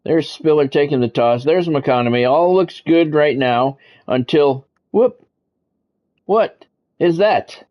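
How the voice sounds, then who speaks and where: A man's voice: on the high side, takes it slowly. Man calling football, dull lo-fi sound. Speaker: game streamer calling play-by-play. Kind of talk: live sports commentary over a football video game, mixed with casual remarks. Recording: dull lo-fi sound, faint background noise.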